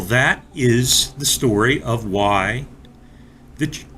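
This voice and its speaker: Man reading a children's storybook, lively pitch swings. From North Carolina, United States. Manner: deliberate, expressive, addressing young listeners directly. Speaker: storybook reader for children, school resource officer. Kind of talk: storybook read-aloud for young children.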